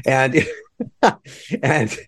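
A man's voice: mid-range voice, very slow. A man hosting an interview podcast over a video call, talking in an effusive, rambling, digressive way. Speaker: podcast host.

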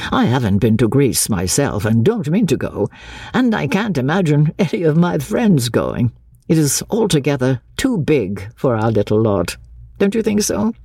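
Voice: mid-range voice, gravelly, darkish tone, husky, no echo, studio quality, heavily acted. A reader voicing a chatty, opinionated English clergyman who rambles theatrically in an audiobook.

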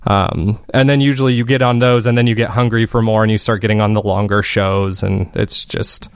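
A man's voice: fairly low pitch, steady tone, a little fast, clear, resonant tone, dry recording, clean studio sound. A man speaking as an interview guest, chatting casually and enthusiastically in rambling explanations. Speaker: guest, fiction podcast maker.

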